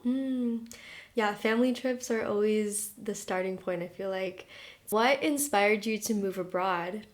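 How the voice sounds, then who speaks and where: A woman's voice: mid-range voice, unhurried. Woman hosting a podcast interview. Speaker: podcast host.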